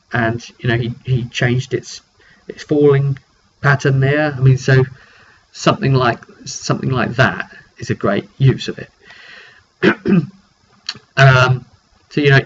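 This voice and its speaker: A man recounting a tabletop fantasy roleplay session as game master, casual and improvised, in a halting, informal way with some throat clearing. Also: medium pitch, a bit bright. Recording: dull lo-fi audio with faint noise.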